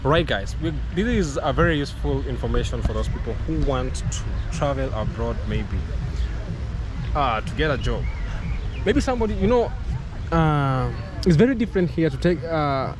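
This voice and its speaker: A young Kenyan man hosting a casual outdoor interview, eagerly prompting his guest with quick questions and many short "mm-hmm"s. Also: mid-range voice, very animated. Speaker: vlog host interviewing a guest. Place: outdoor park with cobbles and hedges. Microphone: camera held at arm's length. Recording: noisy outdoor audio.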